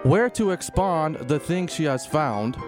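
Man, slightly high pitch, slow halting delivery, amateur reading, stumbling, soft music behind. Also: expressive. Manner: stumbles over words.

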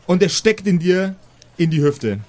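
A man's voice: high voice, expressive, a little slow, a little mellow, a little hiss or hum. A man improvising narration as the game master of a tabletop role-playing game.

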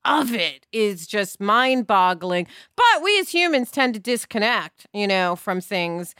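A woman co-hosting a podcast with lively, direct and emphatic conversational delivery. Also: mid-range voice, measured pace, clean tone, dry recording, studio quality. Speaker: podcast co-host.

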